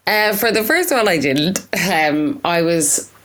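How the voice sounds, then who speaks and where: A young woman, an artist, answering interview questions in a reflective, halting way with many fillers and restarts. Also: on the low side, animated, fairly bright tone. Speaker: artist guest.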